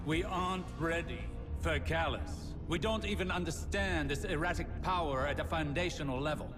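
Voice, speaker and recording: A man's voice: on the high side, moderate pace, close to an everyday voice. A man acting an earnest, brooding scholar in a game cutscene, with an erudite, ponderous way of theorizing. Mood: worried. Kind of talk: scripted game cutscene dialogue. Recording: music behind the voice.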